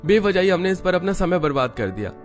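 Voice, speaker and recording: A man's voice: high-pitched, big pitch swings, fast talker, faint music behind, voice pushed up. A man voicing a skeptical, complaining grown son in a family cartoon. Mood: dismissive complaint. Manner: complaining, dismissive.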